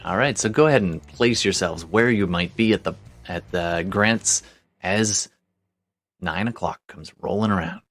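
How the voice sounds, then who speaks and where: A man's voice: deep voice, moderate pace, fairly bright tone, soft background music. A man chatting informally as game master of an online tabletop game, often muttering to himself as he works. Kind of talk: casual chat while running an online tabletop game.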